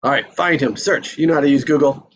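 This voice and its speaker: A man's voice: on the high side, a little fast, lo-fi sound. Man hosting casually over a noisy call. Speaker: host of an online table read. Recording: video call with clear background noise.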